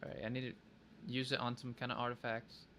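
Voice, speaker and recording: Bright-voiced man streaming a game. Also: medium pitch, completely flat delivery, fast talker. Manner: thinks aloud, playful childish wording. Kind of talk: casual livestream gameplay chat. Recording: faint background noise.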